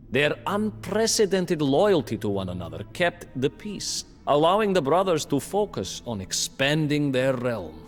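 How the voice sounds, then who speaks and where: A grave, wry storyteller of medieval wars for a video game. He speaks slowly and deliberately, with pitch that rises and falls dramatically. The voice rings with long reverb over music. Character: captive male storyteller in chains, grave and wry medieval chronicler. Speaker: narrator. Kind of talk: dramatic scripted historical narration for a game cutscene.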